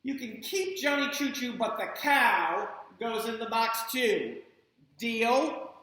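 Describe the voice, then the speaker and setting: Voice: medium pitch, slow pace, sharp tone, very echoey, pitched above the performer's own voice, rough recording. A storyteller voicing an exasperated mother haggling with her son over which toys go.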